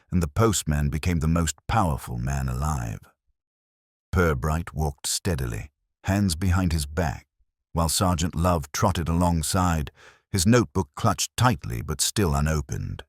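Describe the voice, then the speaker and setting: A man's voice: a bass voice, dry recording, studio quality. A man narrating a scripted mystery story as an audiobook-style storyteller.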